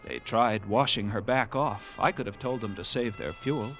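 An actor playing a haunted lighthouse keeper, narrating an old radio drama with intense, halting delivery and suspenseful pauses. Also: male voice, fairly low pitch, a bit bright, faint music behind. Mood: hushed suspense. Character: haunted male lighthouse keeper recounting a grim ordeal.

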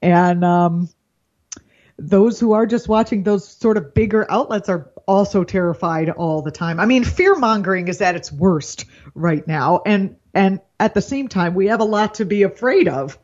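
A woman's voice: medium pitch, darkish tone. Rambling woman guest on dull line. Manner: earnest, rambling, quick to laugh. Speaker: comedian and news anchor, guest. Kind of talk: casual political chat.

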